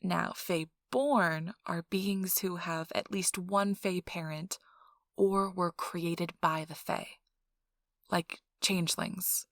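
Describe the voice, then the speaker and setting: A woman playing a friendly, chatty intake interviewer in a soft ASMR role-play, explaining things politely and informally. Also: lowish voice, gravelly, no room sound, clean studio sound, heavily acted. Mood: matter-of-fact. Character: friendly, chatty female intake interviewer at a vampire blood donation center.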